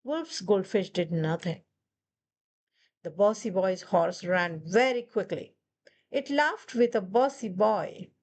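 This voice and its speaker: A woman's voice: medium pitch, darkish tone, slight background noise. A woman reading a children's picture book aloud as a storyteller. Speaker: storybook reader. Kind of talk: children's read-aloud narration.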